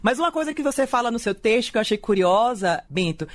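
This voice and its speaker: A woman's voice: on the high side, lively intonation, quick pace. Lady host, chatty and curious, keeps the guest talking. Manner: curious, prompting questions. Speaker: podcast host. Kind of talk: interviewing, casual chat.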